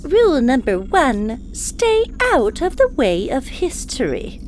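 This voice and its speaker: An actress playing a long-suffering alien ship's officer in a comedic audio drama, dry, sarcastic and wearily officious. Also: female voice, high voice, big pitch swings, slow pace, darkish tone, slight background noise, exaggerated voice. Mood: stern, exasperated.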